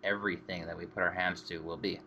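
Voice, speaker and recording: A man hosting a podcast in casual chat, making crude deadpan jokes. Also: deep voice, fast talker. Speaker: podcast host. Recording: dull lo-fi audio with faint hiss.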